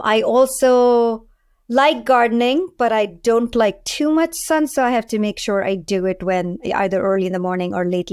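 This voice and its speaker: A woman's voice: mid-range voice, darkish tone, clean tone, no echo. Indian-accented woman chatting in a dry room. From Delhi, India. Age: 40s. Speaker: podcast guest, food entrepreneur. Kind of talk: casual interview chat.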